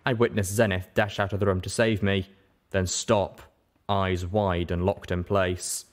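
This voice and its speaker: A man's voice: deep voice, little pitch movement, a little slow. A man reading a first-person story aloud as scripted narration. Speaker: audiobook reader.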